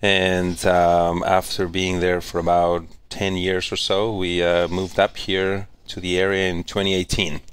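A man's voice: sits low, monotone, a little slow, hardly any pauses, smooth. A man from Chilean Patagonia talking about his life as a guest architect, hesitant and full of fillers. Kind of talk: casual interview.

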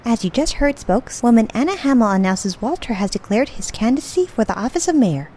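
Comic dub: actress as indignant, brisk TV newswoman, higher register, very animated delivery. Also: a little hiss or hum. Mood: formal reporting. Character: brisk, indignant female TV news reporter. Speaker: voice actor.

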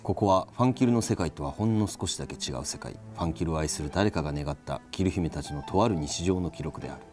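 Fast-talking male radio host. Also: low-pitched, music in the background. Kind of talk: brisk radio introduction.

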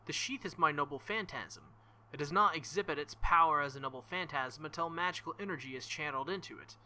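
A man reading aloud as a stern, earnest young woman knight-king, in formal, measured speech without contractions. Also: fairly high pitch, pushed and bright. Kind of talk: reading visual novel dialogue aloud.

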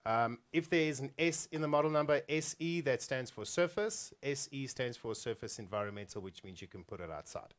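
Casual mid-pitched male co-host. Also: animated, fairly quick, few pauses. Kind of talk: casual chat, addressing viewers. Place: small indoor studio set at a desk.

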